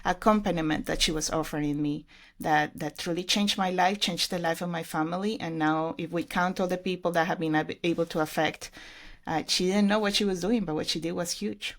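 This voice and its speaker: Woman, middle-aged, English speaker, slightly low voice, earnest, reflective, conversational. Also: steady tone, brisk. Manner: earnest, reflective storytelling with fillers. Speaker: podcast guest, ministry leader. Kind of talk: conversational interview.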